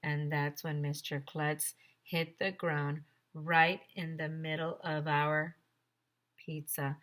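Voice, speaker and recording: A woman teacher reading a story aloud to children with expressive, emphatic narration. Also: deep voice, slow pace.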